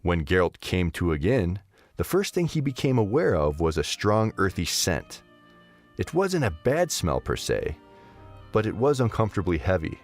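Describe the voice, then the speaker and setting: A man narrating a scripted story in an expressive storytelling manner. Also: deep voice, normal speed, faint music behind.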